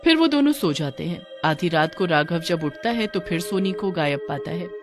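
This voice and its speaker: Lively storyteller with expressive, swooping intonation. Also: mid-range voice, moderate pace, music in the background. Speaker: narrator. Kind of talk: scripted dramatic horror-story narration.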